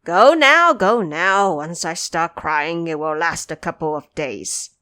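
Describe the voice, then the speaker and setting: A woman's voice: lowish voice, animated, slow pace, bright, forceful tone, no room sound, studio quality, full character voice. A woman voicing a lazy, greedy male pig disciple in a storybook reading, whiny and joking in manner.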